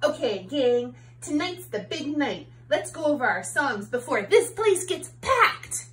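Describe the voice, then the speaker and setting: A woman voicing an excitable sheep who fronts a farm-animal punk band in a storybook, rallying and showy. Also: sits high, measured pace, heavily acted. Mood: businesslike.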